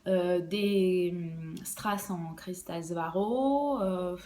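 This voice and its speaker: A woman's voice: fairly low pitch, extremely slow, dark tone. A young woman, an embroidery designer, explaining her work in a casual podcast interview, hesitant and full of fillers and restarts.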